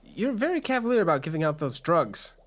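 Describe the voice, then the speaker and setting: A man's voice: fairly high pitch, very animated, fairly quick. A man playing an eager, amoral back-alley cybernetics surgeon with a blithe, hesitant salesman's patter in a tabletop game. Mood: blithe, businesslike.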